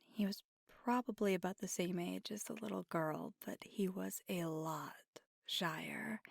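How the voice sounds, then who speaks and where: A woman plays a playful, affectionate witch girlfriend in an ASMR audio roleplay. Her voice is soft and breathy with a gravelly fry, often dropping toward a whisper. She takes her time as she confides in the listener, and the sound is dry and intimate. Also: mid-range voice, no room sound, heavily acted.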